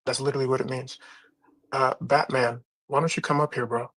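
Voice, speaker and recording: A man's voice: medium pitch, fairly even intonation, a little fast, a bit bright. A man in a casual live-stream debate, talking bluntly and challenging others. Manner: blunt, challenging, reads scripture aloud. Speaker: debate panelist.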